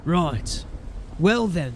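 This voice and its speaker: Fantasy watch sergeant: high register, gruff, commanding, dry wit, faint ambience behind. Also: male voice, very animated, extremely slow, a little hiss or hum, exaggerated voice. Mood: resolute. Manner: commanding, clipped orders with dry sarcasm. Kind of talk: fantasy audio drama acting.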